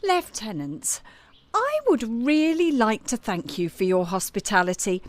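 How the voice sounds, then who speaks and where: A woman's voice: on the high side, big pitch swings, measured pace. An actress playing a bold, flirtatious, self-assured French woman cabaret singer in an audio drama. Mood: charming, persuasive. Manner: coquettish, self-assured, theatrical.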